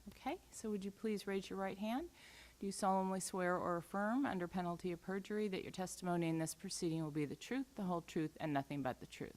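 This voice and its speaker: A woman working as an administrative law judge, opening a formal hearing in a measured, procedural way. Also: lowish voice, a little mellow, rough recording. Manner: measured, procedural, spells out case numbers slowly.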